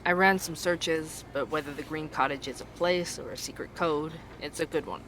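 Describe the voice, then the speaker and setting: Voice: medium pitch, normal speed, lightly acted. A performer playing a curious, wry podcast investigator in an urban fantasy audio drama, conversational and friendly, with faint ambience behind. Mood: matter-of-fact. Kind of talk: audio drama dialogue and narration. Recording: faint background noise, ambience.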